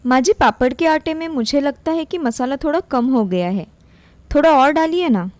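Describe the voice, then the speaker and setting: A woman's voice: high voice, expressive, moderate pace, darkish tone, no echo. A woman voicing an honest, polite young daughter-in-law in a storybook tale, speaking earnestly. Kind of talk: storybook character dialogue.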